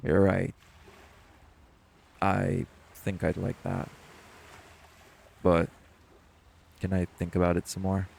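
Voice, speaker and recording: A man's voice: low-pitched, slow pace, creaky, pauses often, slightly rough, full character voice. A man playing a reserved, hesitant shapeshifter boyfriend with dry humour in a romantic audio roleplay. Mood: tentative. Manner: subdued, hesitant, deadpan.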